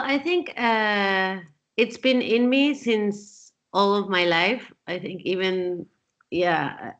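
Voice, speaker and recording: A woman's voice: mid-range voice, a bit bright. A woman from Peru in her forties, answering interview questions in a thoughtful way, with frequent fillers and restarts. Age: 40s. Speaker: guest. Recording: dull lo-fi sound.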